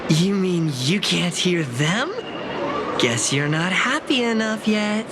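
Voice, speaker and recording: Teasing young adventurer. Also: female voice, fairly low pitch, lively intonation, slow, very noisy. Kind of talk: video game voice acting.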